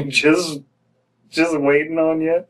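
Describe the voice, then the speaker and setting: A young American man giving casual, comedic commentary as a co-host, in a halting way that repeats words. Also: fairly high pitch, level tone. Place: small dim room indoors. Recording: somewhat distant from mic.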